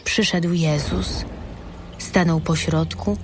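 A woman's voice: on the low side, steady tone, slow, a little hiss or hum. A woman narrating the Gospel in a scripted, dramatized audio Bible production. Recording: studio voice over loud music. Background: music.

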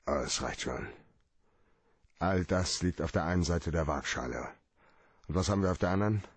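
A hardboiled radio-play detective, really deep and raspy, blunt, dry close sound. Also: male voice, normal speed, dry recording. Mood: cold, calculating. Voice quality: low, rough-edged voice. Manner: blunt, argues point by point. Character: hard-boiled, cynical male private detective.

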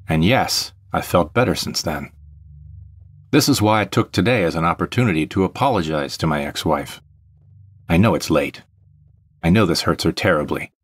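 A man's voice: sits low, husky, no echo. A man playing a brooding, vengeful jilted ex-husband, narrating an audio drama in the first person with melodramatic deliberation. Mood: remorseful.

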